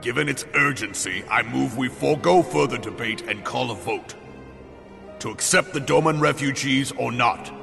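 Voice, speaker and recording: Stern formal fantasy game statesman, lowish gravelly voice, big hall reverb, music pretty present. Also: moderate pace. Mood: solemn, official. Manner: formal, solemn, authoritative. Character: stern, dignified male statesman, formal and weighty. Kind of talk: dramatic fantasy game dialogue. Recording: long hall reverb under loud music.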